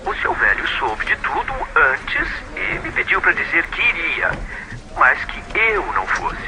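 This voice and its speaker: Over a noisy, radio-like phone line comes a callous young Brazilian boyfriend, acted by a man. His pitch swings widely as he talks in a breezy, colloquial and dismissive way, in audio drama style. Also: wildly swooping pitch, measured pace. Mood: flippant, scornful. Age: young adult.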